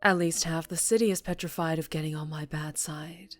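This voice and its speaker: A woman playing a dangerously charming female mafia boss in an ASMR roleplay, teasing and deliberate, often slipping into whispers. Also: low voice, fairly even intonation, faint music behind, natural voice. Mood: probing, menacing.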